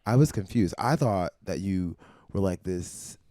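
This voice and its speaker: A man's voice: deep voice, expressive, measured pace, dark tone, no echo. Sassy guy co-hosting, cracking brash jokes, dry mic. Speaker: co-host. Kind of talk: casual chat.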